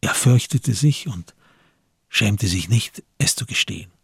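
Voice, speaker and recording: Husky, gravelly low-voiced male narrator. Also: expressive, measured pace, fairly bright tone, dry recording, clean studio sound. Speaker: narrator of a radio drama. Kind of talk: scripted literary narration.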